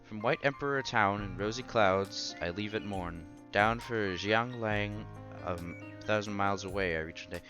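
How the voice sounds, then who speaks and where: A man's voice: lowish voice, moderate pace, few pauses, sharp tone. A man reading a visual novel aloud as a streamer, mixing narration with casual, conversational asides. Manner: breaks off to react conversationally. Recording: music under the voice. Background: background game music.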